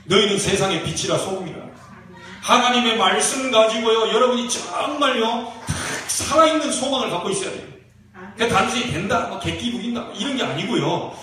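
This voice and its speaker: Echoey, high-pitched Korean man preaching with regional accent. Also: pushed and bright. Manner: emphatic, exhorting, with rhetorical questions and drawn-out key phrases. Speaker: pastor preaching to a congregation. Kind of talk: Korean church sermon, exhortation and prayer. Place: church sanctuary during a service. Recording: very echoey church hall, distant mic, faint noise.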